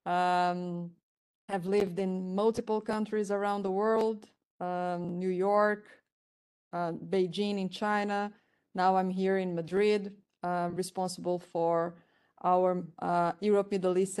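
A woman from Brazil speaks slowly on a podcast in a clear, smooth voice. Her delivery is measured, with pauses and "um"s between phrases. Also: lowish voice, steady tone, darkish tone. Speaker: podcast guest.

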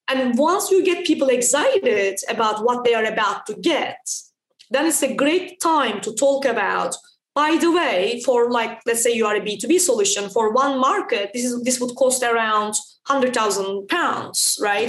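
Quick, emphatic Turkish-born woman founder. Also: on the high side, reverberant, poor audio. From Turkey. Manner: fast, emphatic, with frequent "right?" tags. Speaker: startup founder, guest. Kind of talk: podcast interview answers.

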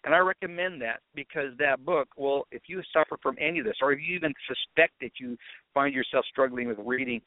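A man's voice: mid-range voice, a little fast. Middle-aged male podcast host, chatty and meandering, thin phone-quality sound with no room echo. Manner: rambling, conversational storytelling. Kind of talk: casual monologue. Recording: tinny phone-like dry audio.

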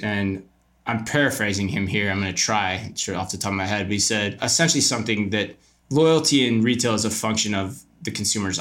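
A man's voice: fairly low pitch, a bit bright. A man speaking conversationally as a podcast guest, giving emphatic opinions and posing rhetorical questions as he explains retail business. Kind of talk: conversational interview answers.

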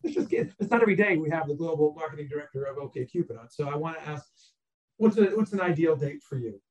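Man, podcast host, higher voice, rambly questions, lots of uh. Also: lively intonation, fast, a little mellow. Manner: rambling, stop-start questions with many "uh"s. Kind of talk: casual interview chat.

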